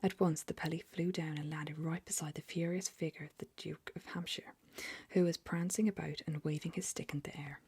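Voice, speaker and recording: Woman softly whispering a storybook. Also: deep voice, brisk, breathy edge, no room sound. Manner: soft, even storytelling.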